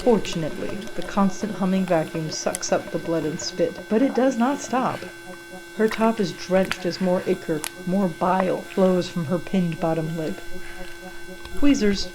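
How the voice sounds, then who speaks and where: A woman's voice: lowish voice, poor audio. A woman giving a cold, menacing, clinical first-person narration of a horror story. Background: music.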